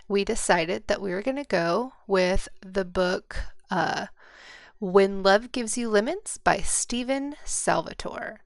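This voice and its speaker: A woman's voice: medium pitch, vocal fry, no echo. An American woman co-hosting a podcast, chatting casually about books and thinking aloud in an informal, tentative way. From Midwestern United States. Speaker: podcast co-host. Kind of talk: casual book chat.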